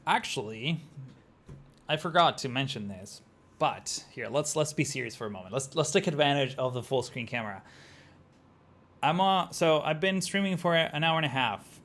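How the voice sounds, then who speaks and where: Gravelly man chatting over a city-building game. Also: on the high side, expressive, moderate pace, fairly bright tone. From Argentina. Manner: rambling, chatty, talks to chat, many "like" fillers. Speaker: streamer. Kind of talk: casual gameplay commentary.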